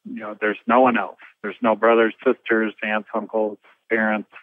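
A man's voice: lowish voice, level tone, normal speed, dry recording. Man on a tinny phone line. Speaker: interviewee on the phone. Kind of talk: plain recollection. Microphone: telephone. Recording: thin, band-limited phone line.

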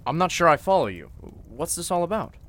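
Man playing courteous lawyer, lively pitch. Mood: puzzled. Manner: polite, measured, slightly formal. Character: courteous, level-headed male lawyer. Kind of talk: old-time radio drama acting.

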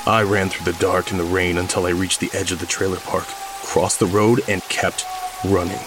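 An actor playing a shaken, guilt-ridden survivor, narrating a horror story in the first person with intense, weighted phrasing. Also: male voice, sits low, level tone, moderate pace, music in the background, close to an everyday voice, rough recording. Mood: panicked, urgent. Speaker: audio fiction narrator.

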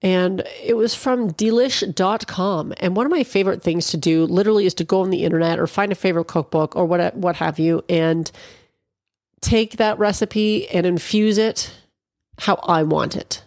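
A woman's voice: fairly low pitch, normal speed, darkish tone, no room sound, studio quality. A middle-aged woman from Canada hosting a podcast on her own, talking in a chatty, rambling way and sounding a little congested and nasal. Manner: chatty and rambling, with frequent restarts. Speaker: podcast host. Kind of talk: casual solo podcast monologue.

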